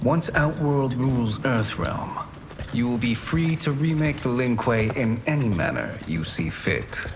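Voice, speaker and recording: A man playing a smooth-talking, calculating villain in a video game cutscene, formal and self-assured. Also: mid-range voice, very animated, unhurried, noisy background. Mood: assured explaining.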